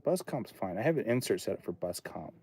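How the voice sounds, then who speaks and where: Man in his late thirties, low, warm tone, rambling livestream host in a home studio. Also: sing-song, a little fast, husky, no echo. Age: 30s. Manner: rambling, thinking aloud, chatting to viewers. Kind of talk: casual livestream chat.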